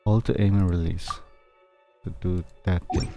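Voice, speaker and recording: Low-voiced man commenting over loud game music. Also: level tone, moderate pace, gravelly. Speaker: streamer playing a video game. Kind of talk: casual live game commentary and reading aloud.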